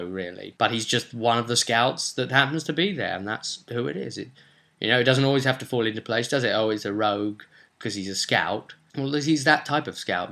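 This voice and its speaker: Man, game master, lowish pitch, bright forceful tone, casual rambling recap of a roleplay session.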